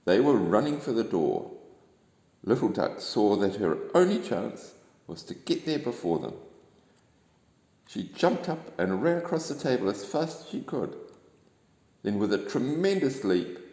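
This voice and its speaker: A man reads a children's bedtime story in a gentle, expressive way. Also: on the low side, unhurried. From New Zealand. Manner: gentle, expressive, addressing young listeners. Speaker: storybook reader. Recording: echoey room with long reverb.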